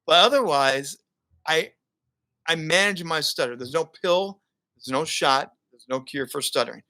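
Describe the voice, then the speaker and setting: Bright-voiced animated man telling stories. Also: on the high side, unhurried. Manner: animated storyteller, laughs often. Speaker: guest, broadcaster and keynote speaker. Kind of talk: casual interview conversation.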